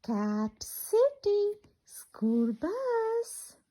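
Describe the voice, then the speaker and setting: A woman reading a picture book aloud to children in a singsong, interactive way, asking questions as she goes. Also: extremely high pitch. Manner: animated, singsong, asks questions and pauses for children. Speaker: children's storyteller.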